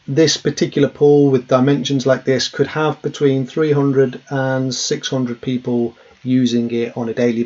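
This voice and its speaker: A man's voice: medium pitch, gravelly, a little mellow. A man in his thirties, a safety trainer, plainly explaining a worked example with frequent ums and uhs from a small office. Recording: dull, lo-fi webcam audio.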